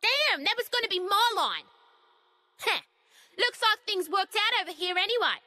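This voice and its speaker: Game heroine: blunt, foul-mouthed young British scrapper, high-pitched and highly animated, with swagger. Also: female voice, normal speed, no room sound, clean studio sound, full character voice. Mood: brash, casual. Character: brash, cocky, foul-mouthed young female fighter. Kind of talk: video game cutscene acting.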